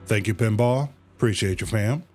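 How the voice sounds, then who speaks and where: A man's voice: lowish voice, moderate pace, a little mellow, faint music behind. A man livestreaming a video game with casual, slangy commentary. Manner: slangy, informal. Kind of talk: casual game stream commentary, reading dialogue aloud.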